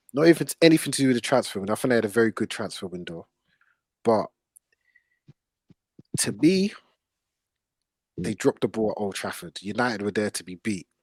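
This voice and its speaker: A man's voice: fairly low pitch, no echo. A man hosting a casual football discussion, blunt and opinionated and full of slang. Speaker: football podcast host.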